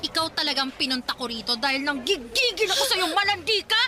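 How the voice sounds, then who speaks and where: A woman's voice: high-pitched, very animated, quick pace. An actress playing a jealous young ex-girlfriend who screams insults, in a radio drama. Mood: vicious, jealous. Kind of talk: Tagalog radio drama acting. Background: music bed.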